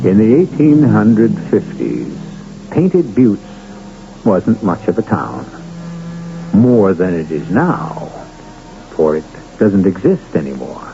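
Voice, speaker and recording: Slow, gravelly male radio mystery host. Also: fairly low pitch, sing-song, background noise. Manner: portentous, deliberate storytelling. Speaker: host and narrator. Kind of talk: scripted radio mystery narration. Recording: dull 1970s radio recording.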